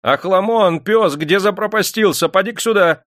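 A man's voice: sits high, normal speed, fairly bright tone, dry recording, clean studio sound, pitched above the performer's own voice. A man voicing a domineering, gleefully cruel eighteenth-century factory owner in an audiobook, bellowing and crowing his exclamations. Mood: angry, commanding. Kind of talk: dramatised audiobook character voice.

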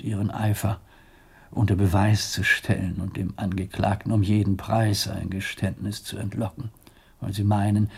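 An actor playing a grave, diplomatic abbot in a radio drama, husky and gravelly, speaking formally with weighty pauses. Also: male voice, fairly low pitch, darkish tone. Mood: grave, pointed. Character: grave, diplomatic male abbot of a medieval monastery.

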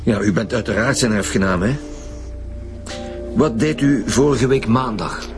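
A male actor plays a stern police commissioner with a Flemish accent and a medium-pitched voice. He speaks formally and reprovingly in a radio drama, with clear music underneath. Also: a little slow, natural voice. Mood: businesslike.

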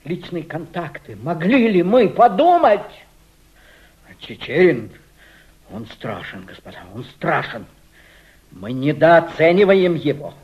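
An actor playing a solemn, awed gentleman in a radio play, declaiming emphatically with dramatic pauses. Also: on the high side, sing-song. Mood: awed, alarmed. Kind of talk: Russian radio play acting. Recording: dull old radio drama recording.

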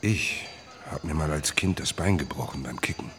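A man's voice: very deep voice, normal speed, fairly bright tone. An actor playing a laconic, melancholy man who narrates tersely and matter-of-factly in a radio play. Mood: plain, rueful. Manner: terse, plain, matter-of-fact.

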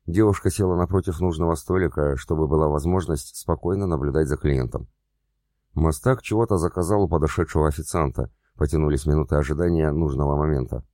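A man reading a crime story aloud as its narrator, in an even storytelling manner. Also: very deep voice, no echo, clean studio sound. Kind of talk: audiobook narration.

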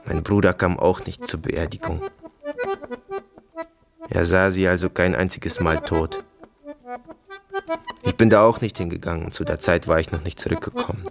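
An actor playing a brooding soldier haunted by family memories, in a halting, fragmentary radio-drama monologue. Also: male voice, low-pitched, steady tone, vocal fry, faint music behind. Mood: wistful, musing. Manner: halting, fragmentary, repetitive musing.

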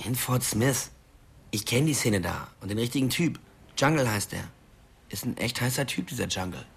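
An actor playing a brash, slangy young drug-dealing sidekick in a radio crime drama. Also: male voice, mid-range voice, lively intonation, normal speed, gravelly, slightly rough, exaggerated voice. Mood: scheming. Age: young adult.

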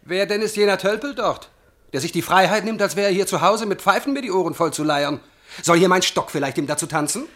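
An actor playing a haughty, menacing god who bullies and threatens, declaiming classical verse in a radio play with a husky, rough voice. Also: male voice, sits high, fairly quick, fairly bright tone. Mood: menacing, bullying. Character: bullying, menacing male god spoiling for a fight.

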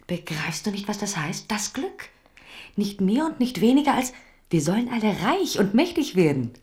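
An actress in a German radio drama playing an eager, ambitious confidante who urges and scolds. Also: female voice, medium pitch, moderate pace, close to an everyday voice. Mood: urgent, coaxing. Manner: urgent, scolding, excitable. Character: eager, ambitious female confidante scheming for wealth and power.

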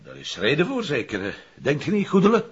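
An actor playing a probing police detective with a raspy, sharp voice, questioning insistently in a TV drama. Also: male voice, a little high, wildly swooping pitch, fairly quick, heavily acted. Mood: coaxing. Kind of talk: television crime drama acting. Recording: muffled lo-fi TV sound.

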